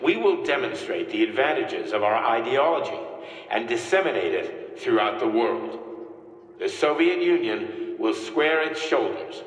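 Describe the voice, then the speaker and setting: A grand game figure, a scientist leader addressing his nation in solemn, measured sentences. The sound comes from far off and carries long reverb, as if heard across a vast, echoing hall. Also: medium pitch, sing-song. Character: male scientist leader giving a grand speech.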